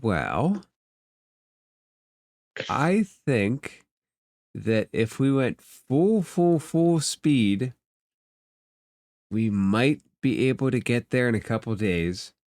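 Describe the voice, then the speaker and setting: A man voicing a squawky, mercenary bird-like merchant creature in a tabletop game, squeaky and eager to wheedle. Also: very animated, very slow, long pauses, darkish tone, no echo, studio quality, heavily acted. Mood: scheming, excitable.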